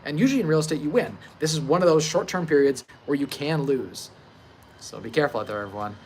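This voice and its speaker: A man's voice: on the high side, quick pace. Confident thirty-year-old man, noisy livestream. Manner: confident, informal, mild swearing, emphatic. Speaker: livestream host, real estate investor. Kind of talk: casual livestream monologue on investing. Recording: clear steady background ambient noise.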